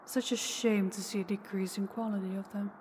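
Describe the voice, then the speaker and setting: A woman playing an ancient, powerful vampire in an audio roleplay, slow, deliberate and condescending, with calm menace toward the listener. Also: medium pitch, lightly acted. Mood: mocking, unimpressed. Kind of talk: intimate scripted audio roleplay addressed to listener. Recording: long hall reverb, faint background noise.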